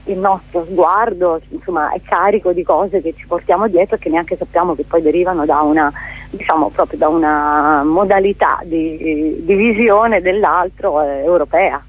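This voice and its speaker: A woman's voice: on the low side, moderate pace, breathy edge. A woman giving a spontaneous radio interview as a theatre guest, hesitant, often repeating words as she searches for the next one. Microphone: telephone call to a radio station. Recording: tinny, narrow phone line.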